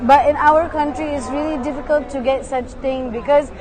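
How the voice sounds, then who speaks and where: A woman from Saudi Arabia answering interview questions in a relaxed way, with many fillers like "like" and "you know". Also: sits high, moderate pace, poor audio. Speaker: interview guest. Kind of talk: casual conversation. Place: busy expo hall. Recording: crowd chatter behind.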